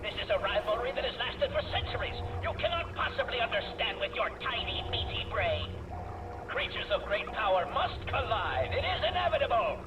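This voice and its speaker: An actor playing an ancient disembodied brain, an imperious video game villain who speaks in formal, haughty, grandiose phrases with an echoing effect. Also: male voice, very high-pitched, sing-song, brisk, lo-fi sound, noisy background. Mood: grandiose, commanding. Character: pompous, imperious male disembodied brain, centuries-old arch-villain. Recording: echoey, distant, loud music behind. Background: game music.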